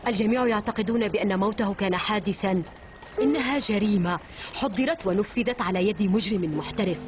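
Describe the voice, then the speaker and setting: A woman's voice: mid-range voice, fairly quick, a little hiss or hum, lightly acted. A woman voicing an earnest, wary young man in a cartoon dub, speaking Modern Standard Arabic with urgent seriousness. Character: earnest, wary young male newspaper owner. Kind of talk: animated cartoon dub acting. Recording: background music under dialogue.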